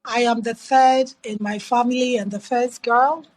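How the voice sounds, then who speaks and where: A middle-aged woman with a Ghanaian accent, telling her life story as an interview guest in a measured, reflective way with emphatic repetition. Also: a little high, fairly even intonation, a little mellow.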